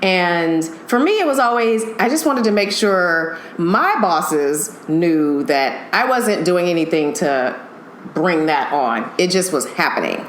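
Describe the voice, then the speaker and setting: Animated, laughing woman storyteller. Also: lowish voice, slow pace. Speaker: podcast guest, TV makeup artist. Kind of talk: casual podcast chat. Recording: echoey room with faint noise.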